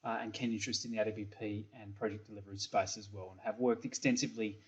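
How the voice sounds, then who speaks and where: Halting Australian man on a webcam. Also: fairly low pitch, little pitch movement. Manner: hesitant, many ums and repeated words. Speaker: software company principal presenting. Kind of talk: recorded product presentation. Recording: slightly dull webcam audio.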